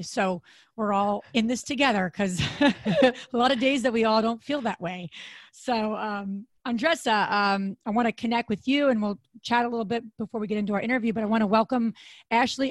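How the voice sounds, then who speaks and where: Hoarse, raspy woman podcast host. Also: no room sound. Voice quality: deep, raspy voice. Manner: chatty, rambling with fillers and restarts. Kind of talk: casual podcast chat.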